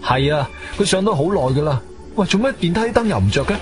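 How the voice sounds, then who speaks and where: Man acting urgent adventurer-narrator, Cantonese accent, higher-pitched, very animated, suspenseful radio drama, music underneath. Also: fast, close to an everyday voice. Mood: urgent, alarmed. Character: agile martial-arts-trained adventurer, urgent and intense.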